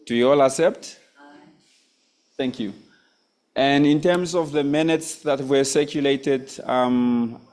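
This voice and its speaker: A man's voice: medium pitch, darkish tone. A man chairing a society's annual meeting, formal and polite with a few hesitations. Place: meeting hall.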